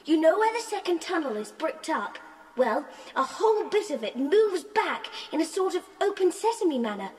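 A plucky child in an adventure audio play. The voice is extremely high, urgent and lively in pitch. It rings with a long reverb. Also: female voice, normal speed, soft background music. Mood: brisk, plucky. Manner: urgent, exclamatory. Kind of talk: children's audio drama acting.

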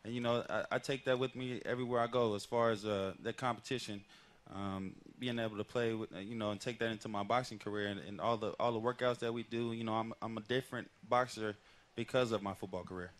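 A man's voice: on the low side, fast. A man in his thirties, a boxer guest, talking casually and rambling with lots of fillers on a headset mic at an outdoor set. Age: 30s. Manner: relaxed, rambling with many fillers. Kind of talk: casual interview talk.

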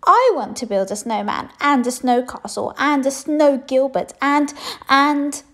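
Little princess for a storybook, woman performing: young, bossy, whiny, high-pitched, dragging out every demand. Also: fairly bright tone. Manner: squealing, whiny, drawn-out demands.

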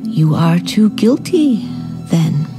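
A woman's voice: fairly low pitch, very animated, takes it slowly, music in the background. A woman playing a haughty, disapproving upper-class aunt in a period radio drama, speaking with formal, dry disdain. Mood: stern.

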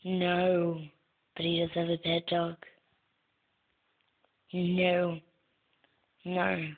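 A young woman casually playing a guessing game on her channel, soft-spoken and answering in short words. Her voice is breathy and low-pitched. It comes through a tinny, phone-like recording. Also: monotone, drawn-out words. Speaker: gaming channel host. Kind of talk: casual gameplay commentary.

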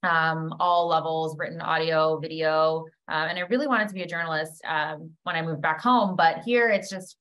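A woman's voice: deep voice, steady tone, normal speed, hardly any pauses, fairly bright tone. A woman in her thirties with a Canadian accent, chatting informally as a podcast guest and telling personal stories. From Canada, born in Trinidad. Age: 30s. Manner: informal, peppered with "like". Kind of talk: casual chat, personal storytelling. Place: indoor room, video call.

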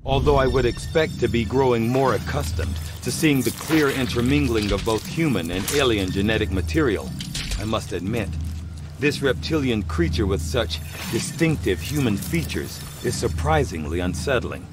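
A man's voice: medium pitch, animated, moderate pace, over music, natural voice. Actor as a precise, pensive male scientist. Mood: clinical, pensive. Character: studious, clinical male military scientist logging autopsy findings. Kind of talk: scripted video game narration.

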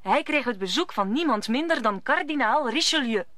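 Female narrator for a radio play: scripted storytelling, bright tone, slightly high. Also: lively intonation, moderate pace, no echo.

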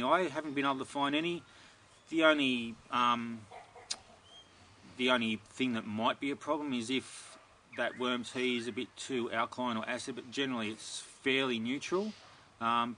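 A middle-aged man answering viewer questions about his garden in a relaxed, rambling way, recorded outdoors in a backyard. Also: medium pitch, slight background noise. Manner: relaxed and rambling, many ums and restarts. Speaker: gardening video host. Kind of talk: casual Q&A, reading viewer questions.

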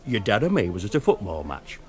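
A man's voice: lowish voice, huge pitch swings, brisk, darkish tone. A man playing a grumbling old uncle. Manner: rambling, aggrieved storytelling. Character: grumbling older northern uncle, set in his ways.

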